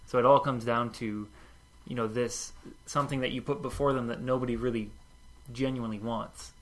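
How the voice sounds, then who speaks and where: A man's voice: mid-range voice, steady tone, a little fast. A young man hosting a casual conversation, listening closely and adding his own thoughts. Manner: attentive, frequent brief backchannels.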